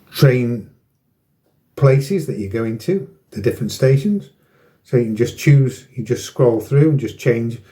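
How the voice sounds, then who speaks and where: A man's voice: mid-range voice, mellow. A man giving a casual, unscripted explanation as a hobby vlogger, hesitating and repeating himself as he goes. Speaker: model railway vlogger.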